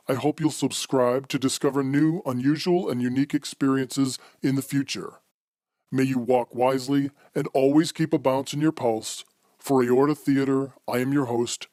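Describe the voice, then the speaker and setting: A man's voice: medium pitch, darkish tone, no echo, clean studio sound, natural voice. A man playing the philosophical, formal host of a macabre radio anthology, reading scripted narration in an old-time radio style.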